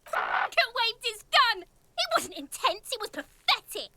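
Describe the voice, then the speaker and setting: A woman's voice: huge pitch swings, slow, bright, forceful tone, dry recording, exaggerated voice. A woman voicing a foul-mouthed, jeering boy who taunts police in a video game. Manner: shrill, jeering, swearing.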